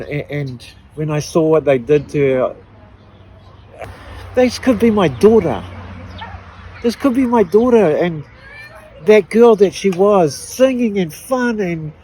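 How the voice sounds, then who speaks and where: A middle-aged woman with a New Zealand accent, giving an emotional personal testimony in an earnest, halting way with frequent restarts. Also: lowish voice, warm tone, breathy edge, low-quality recording. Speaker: activist interviewee.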